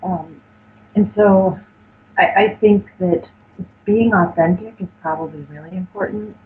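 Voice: mid-range voice, unhurried. A health consultant guest answers interview questions in a hesitant, earnest way, with plenty of fillers. The voice comes through a tinny, phone-like line with a faint hiss behind it.

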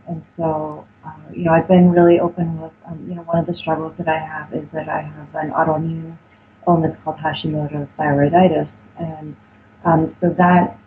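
A health consultant guest answering interview questions, hesitant and full of fillers but open and earnest. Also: medium pitch. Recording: tinny phone-like line with faint noise.

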